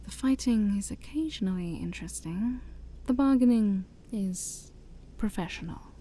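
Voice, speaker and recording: A woman playing an ancient demon princess, haughty yet shy, in a soft-spoken ASMR roleplay that often drops to a whisper. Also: medium pitch, animated, normal speed, a little hiss or hum, lightly acted. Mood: curious, haughty. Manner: formal, teasing, hesitant, sighing.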